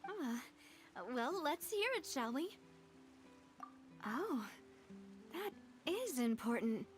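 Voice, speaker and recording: A woman playing a poised young leader in a video game, earnest and slightly proud, with a formal, measured way of talking. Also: sits high, very animated, exaggerated voice. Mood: thoughtful. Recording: faint music behind the voice.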